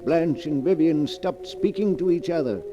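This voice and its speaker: An actor playing an ominous mystery narrator, telling the tale with dramatic deliberation in 1940s radio style. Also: male voice, fairly high pitch, normal speed, background noise, close to an everyday voice. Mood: ominous, suspenseful. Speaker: narrator and host. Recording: muffled vintage radio, music behind.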